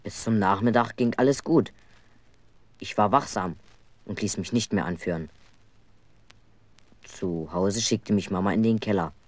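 An aggrieved schoolboy narrating his own tale in an old German children's radio drama. The voice is fairly low and earnest, recorded very dry. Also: measured pace, pauses often, no echo. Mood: earnest storytelling. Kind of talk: first-person narration in 1970s German children's radio play.

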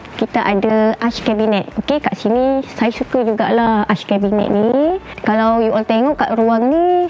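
Malaysian woman, higher-pitched, showing her home. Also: a little mellow. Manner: chatty and hesitant, pausing often with "ah" and searching for words. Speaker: homeowner giving a house tour. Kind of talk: casual guided home tour to camera. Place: indoors, rooms of a furnished house. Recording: slightly dull sound with faint background noise.

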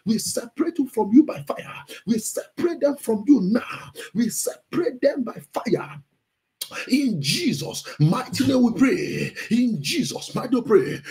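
A man with a Nigerian accent, a pastor leading fervent Pentecostal prayer in forceful, repeated declarations with a strained, gravelly voice. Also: high-pitched. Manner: forceful, repetitive chanting declarations.

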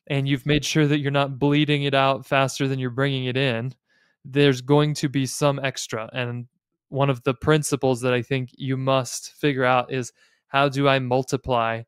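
An American man around his late thirties hosting a podcast, explaining things in a measured, thoughtful way with pauses between phrases, close on a studio mic. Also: mid-range voice, smooth, dry recording. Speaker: podcast host. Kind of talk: explanatory podcast talk on personal finance and faith. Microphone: close studio mic on a boom arm.

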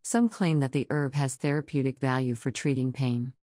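Very deep-voiced woman reading aloud. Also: fairly even intonation, normal speed, mellow, no echo, studio quality. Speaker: test prompt reader. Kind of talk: scripted example sentences read aloud.

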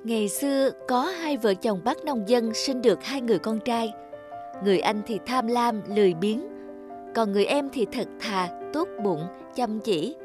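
A woman's voice: a little high, lightly acted. A woman storyteller reading a scripted fairy tale for a children's cartoon. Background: background music.

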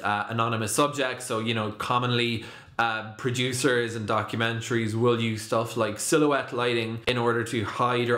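A man's voice: medium pitch, a bit bright. A middle-aged man presenting a casual tech tutorial to camera from his home office. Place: small home office, indoors. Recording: roomy, echoing home-office sound.

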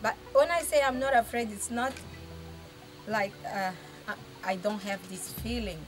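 A determined woman speaks slowly and emphatically, often repeating herself as she finds her words. Music plays clearly behind her. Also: mid-range voice, rough recording. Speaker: arts project founder. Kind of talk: interview testimony.

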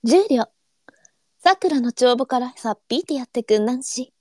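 A woman playing a gentle, teasing senior courtesan, an indulgent big sister with elegant old brothel speech. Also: sits high, animated, normal speed, dry recording, clean studio sound. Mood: teasing, kind.

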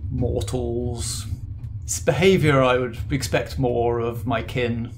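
A man's voice: medium pitch, steady tone, takes it slowly, a little hiss or hum. A man voicing a refined, snooty male satyr on a train, speaking in a prim, genteel manner. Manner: prim, genteel, faintly pompous.

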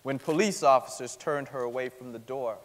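A man's voice: mid-range voice, brisk, lightly acted. A man giving a scripted dramatic reading as narrator on a theatre stage. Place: large indoor theatre stage. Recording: echoey theatre hall.

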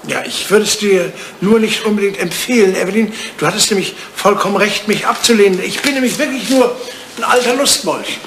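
A man's voice: high-pitched, a little fast, a bit bright, slight background noise. An older man playing an ageing, cynical English lord in a German radio play, blustering and roguish, often hesitating. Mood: self-mocking. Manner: blustering, hesitant, tagging questions with "ne?".

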